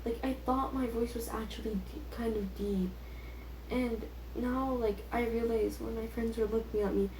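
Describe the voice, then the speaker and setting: Teen female vlogger, soft-edged relaxed tone, self-deprecating off-the-cuff chatter, recorded in a reverberant room. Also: fairly high pitch, moderate pace. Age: teenager. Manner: self-deprecating, filler-heavy youthful talk. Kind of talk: casual rambling chat. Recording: echoey room with faint background noise.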